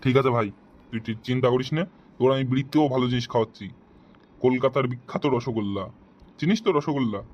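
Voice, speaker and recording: A man's voice: medium pitch, quick pace, lo-fi sound, lightly acted. A man voicing an exasperated, sarcastic, foul-mouthed friend in a comic cartoon. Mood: coaxing.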